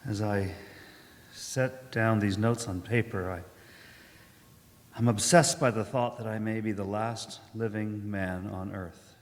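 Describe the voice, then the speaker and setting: A man's voice: lowish voice, close to an everyday voice. A man playing a haunted, lonely professor who survived a catastrophe, in a dramatic radio monologue. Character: haunted professor, lonely derelict survivor narrating his despair. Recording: reverberant church hall.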